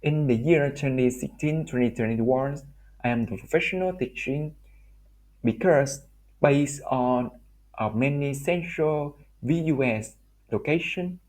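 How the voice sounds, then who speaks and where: A Vietnamese-accented man walks through his slides as a language teacher. His English is halting and broken, and he often restarts phrases. His voice sits at an ordinary middle pitch for a man. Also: a little mellow, low-quality recording. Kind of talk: recorded slide presentation.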